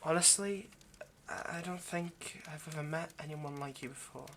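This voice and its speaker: A man's voice: fairly high pitch, moderate pace, pushed and bright. A man playing a nervous, centuries-old vampire kidnapper in an intimate ASMR roleplay, hesitant, stammering and apologetic as he speaks to the listener. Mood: tender confessional. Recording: faint background noise.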